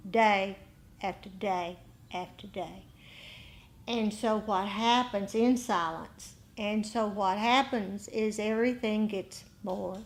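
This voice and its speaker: Slow-paced woman teaching meditation, reflective. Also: mid-range voice. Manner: reflective, halting, with pauses and repeated phrases. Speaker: meditation teacher leading a group. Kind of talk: informal dharma talk with group discussion.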